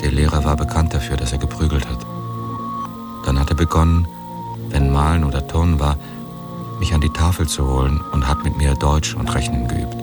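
A man calmly recounting his school days in plain words. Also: rumbling low, moderate pace. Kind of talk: personal recollection. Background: music.

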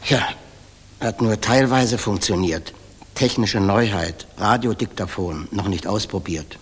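An actor playing an obsequious, furtive waiter who informs for the police, in a 1960s German radio play, with a husky, clipped delivery. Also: male voice, lowish voice, a little slow, slight background noise, lightly acted. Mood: furtive, dutiful. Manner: servile, clipped, deferential to superiors. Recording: reverberant 1960s mono radio recording.

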